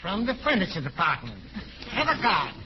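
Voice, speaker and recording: An actor playing an excitable, joking male sidekick in a 1940s radio comedy, full of punning banter. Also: high-pitched, normal speed. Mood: playful. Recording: noisy muffled old radio recording.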